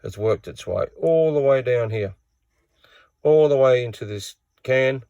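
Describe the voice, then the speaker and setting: A man's voice: mid-range voice, unhurried, warm tone, clean tone, dry recording, lo-fi sound. A man giving a casual hands-on repair tutorial in a plain-spoken, matter-of-fact way.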